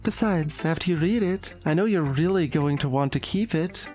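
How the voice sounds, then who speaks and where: A game streamer voicing an obsessive, lovesick, unhinged teenage anime girl in visual novel dialogue, breathy and intense. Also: mid-range voice, moderate pace, a little mellow, soft background music, close to an everyday voice. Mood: feverish, urgent.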